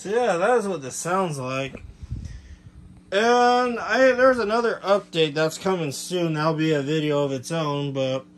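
A man giving a casual hands-on demonstration as a hobbyist, explaining in a halting way with self-corrections. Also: a little high. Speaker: hobbyist presenter. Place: cluttered bedroom, indoors. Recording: clear background noise, room ambience.

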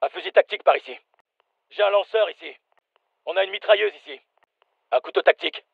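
An actor playing a tough, no-nonsense special forces soldier in a shooter game, giving terse, matter-of-fact callouts. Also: male voice, sits high, brisk, vocal fry, slightly rough, no echo, phone-quality sound, full character voice. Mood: businesslike. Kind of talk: military shooter game voice lines, French dub.

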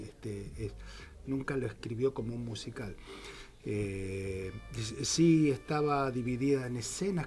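Older Argentine man, halting radio guest. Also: mid-range voice, darkish tone. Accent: Argentine Spanish. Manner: thoughtful, many "este" fillers. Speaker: theater director, guest. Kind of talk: casual radio interview. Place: small radio studio. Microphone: studio mic with headphones.

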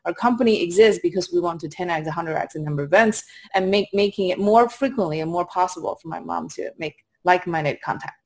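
A young woman with a Chinese accent speaks in a fairly low voice. She answers as a founder being interviewed, in a conversational way, over an indoor video call. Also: fast talker, hardly any pauses, a little mellow. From Qingdao, China. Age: young adult. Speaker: startup founder, interview guest. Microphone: video call at desk distance.

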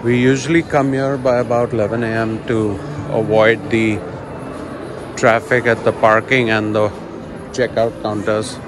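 A man giving relaxed, chatty commentary while walking through a large indoor shopping mall, filming on a handheld phone. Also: lowish voice, measured pace, over music. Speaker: vlogger. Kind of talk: casual walking vlog narration. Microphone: handheld phone camera.

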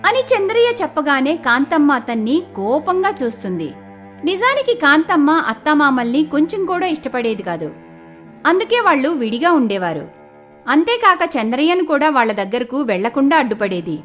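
High-pitched, expressive woman storyteller. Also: normal speed, faint music behind. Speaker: narrator. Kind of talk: expressive scripted moral-story narration.

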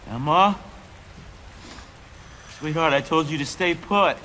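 An actor playing a desperate, grieving father in a video game cutscene, anguished and swinging between shouts and whispers. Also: fairly high pitch, slow pace, slight background noise. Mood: tender, shaken. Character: desperate, grieving armed father protecting his infected daughter.

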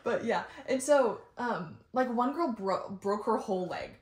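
A young American woman telling stories in casual, halting chat, informal and full of "like". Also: fairly high pitch, lively intonation. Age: young adult. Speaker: guest friend on a vlog. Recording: roomy indoor echo.

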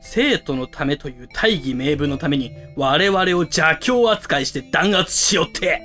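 A man plays a pompous student club president in an amateur voice drama. He declaims theatrically with very lively pitch over music, full of grandiose indignation. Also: a little slow. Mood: defiant. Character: pompous, fanatical high school club president.

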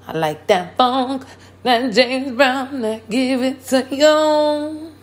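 A hoarse woman hosting a hip hop and politics podcast, talking casually and full of slang and hype. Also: high voice, takes it slowly, faint music behind. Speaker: podcast host. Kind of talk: casual chat.

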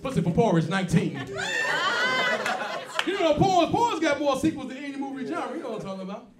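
High-voiced, profane stand-up comedian. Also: male voice, sing-song, a little fast, a loud crowd. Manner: loose, profane, storytelling with act-outs. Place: small comedy club stage, indoors. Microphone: handheld mic. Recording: roomy club, distant mic, loud laughter.

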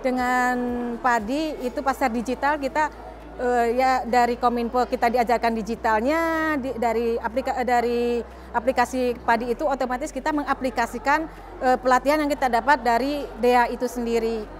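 A woman's voice: fairly high pitch, fairly even intonation, moderate pace. A woman, a small business owner, giving an interview testimonial.

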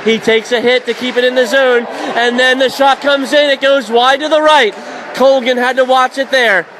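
A man calling hockey play-by-play on radio, rising to shouts when a goal goes in. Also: very high-pitched, fairly even intonation, crowd noise, noisy background. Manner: rapid, shouting up on goals.

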